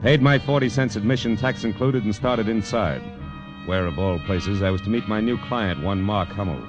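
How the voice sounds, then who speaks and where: Male actor for tough American gumshoe, 1940s radio style. Low, dry, clipped, unruffled. Dull vintage sound. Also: normal speed, natural voice. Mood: wry, narrating. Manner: terse, hard-boiled, deadpan. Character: hard-boiled male private detective, wry and unruffled. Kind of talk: 1940s radio crime drama acting with first-person narration. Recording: muffled vintage radio recording, music underneath.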